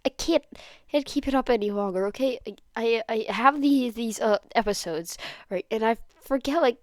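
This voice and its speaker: A woman's voice: fairly high pitch, a little mellow, no echo, clean studio sound, voice pushed up, close to an everyday voice. A woman voicing an anxious, guilt-ridden teenage boy in a story, stammering and pleading. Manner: stammering, pleading, rushed rambling. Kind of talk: dramatised fan fiction reading.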